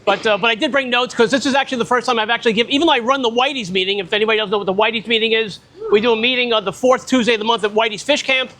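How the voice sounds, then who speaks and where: A man with a loud voice giving a casual, blunt talk at an investor meetup, speaking without a microphone in a restaurant. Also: extremely high pitch, rapid-fire. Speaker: guest speaker on private lending. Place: restaurant event space in the rain.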